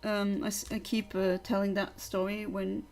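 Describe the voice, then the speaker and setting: A woman's voice: medium pitch, level tone, normal speed, darkish tone, slight background noise. Chatty young woman. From Luxembourg. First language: Luxembourgish. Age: young adult. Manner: chatty, opinionated, rambling. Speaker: livestreamer. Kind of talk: casual livestream chat.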